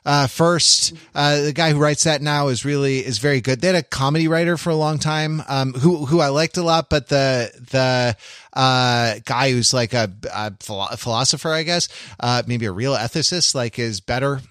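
A man co-hosting a podcast in casual chat, talking in a rambling, halting way and circling back to repeat phrases. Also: medium pitch, fairly bright tone, clean tone, no room sound, clean studio sound. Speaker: podcast co-host.

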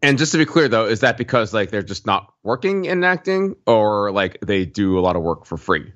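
A man with a very low voice chats casually as a podcast co-host, groping for the right words. The recording is slightly dull in tone and dry, with no room sound. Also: big pitch swings, fairly bright tone, no room sound. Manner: loose, searching for words, quick asides. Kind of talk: casual chat.

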